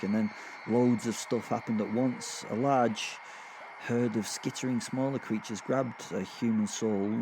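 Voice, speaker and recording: Over clearly noisy ambience comes a man acting as a male werewolf with a mid-pitched voice and a cruel streak. He narrates horror in a chatty, wandering way, hesitating over his words and treating the grisly with gleeful, offhand understatement. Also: natural voice. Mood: fascinated, rambling. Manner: conversational, rambling, hesitant, darkly amused understatement. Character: gleeful, sadistic male werewolf killer marvelling at Hell. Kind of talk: first-person horror audio drama narration.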